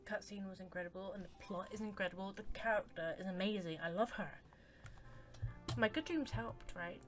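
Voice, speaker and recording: A woman's voice: medium pitch, fairly quick. A woman streamer giving casual, informal, gushing reaction commentary while playing a game. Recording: voice over game music.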